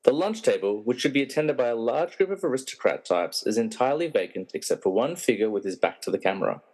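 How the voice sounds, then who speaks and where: A man's voice: medium pitch, sing-song, fast talker, a little mellow. A man narrating stage directions, reading a screenplay aloud as scripted narration.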